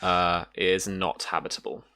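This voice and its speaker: A woman narrating a tabletop roleplaying game as game master, haltingly feeling her way through the details. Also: very deep voice, fairly even intonation, creaky, bright, forceful tone.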